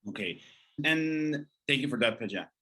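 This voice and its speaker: Bright-voiced enthusiastic interview host. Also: male voice, mid-range voice, lively intonation, normal speed, slightly rough. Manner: enthusiastic, asks long winding questions. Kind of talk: hosting an interview series.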